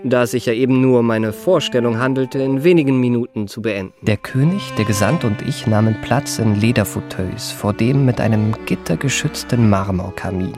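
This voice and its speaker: A suave young gentleman impostor, played by a man in a literary radio play. His voice sits at a medium pitch and his phrasing is ornate, courtly and effusive. Music plays faintly behind him. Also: moderate pace, hardly any pauses, lightly acted. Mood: smooth, self-satisfied. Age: young adult. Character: suave young impostor posing as a flattering marquis. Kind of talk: first-person literary radio drama narration with acted dialogue.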